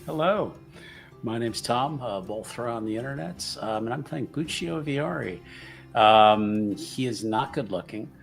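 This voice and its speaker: A man introducing himself casually as a tabletop game player, halting, with understated dry humor. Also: medium pitch, lively intonation, creaky, soft background music. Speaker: player on a tabletop roleplaying stream.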